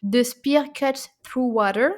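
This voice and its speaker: A woman, co-hosting a casual book podcast, animated and often repeating words as she searches for them. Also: fairly high pitch, slow. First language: French. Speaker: podcast co-host.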